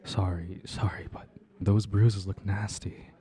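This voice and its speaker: A man playing a worried, caring ex-boyfriend in an intimate audio roleplay, soft-spoken and hesitant, gently insistent, with halting pauses. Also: lowish voice, little pitch movement, lightly acted. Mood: worried, gentle. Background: ambient sound effects.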